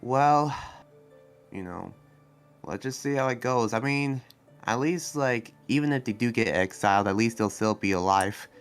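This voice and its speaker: A man playing a kind, idealistic young demon slayer and researcher in an improvised roleplay, speaking earnestly and haltingly. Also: medium pitch, clean tone, faint music behind, natural voice. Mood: concerned, pleading. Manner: hesitant, earnest, halting with restarts.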